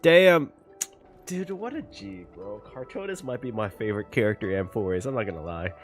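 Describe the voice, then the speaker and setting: A man giving a casual, slangy, animated reaction as a gaming streamer. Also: on the low side, fairly quick. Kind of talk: casual excited game reaction. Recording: faint game music behind.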